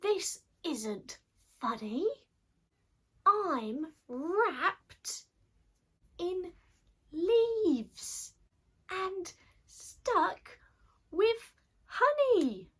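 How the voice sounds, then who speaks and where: A woman's voice: very high-pitched, big pitch swings, extremely slow, pauses often, fairly bright tone, voice pushed up, exaggerated voice. A woman voicing a cross, bossy male lizard wizard from a storybook, commanding and indignant. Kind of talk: storybook character voice.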